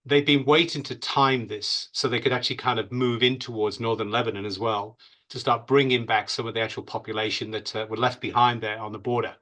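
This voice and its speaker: Man with a very bright, forceful voice. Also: mid-range voice, fairly even intonation, a little fast. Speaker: security expert guest. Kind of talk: off-the-cuff expert analysis in an interview.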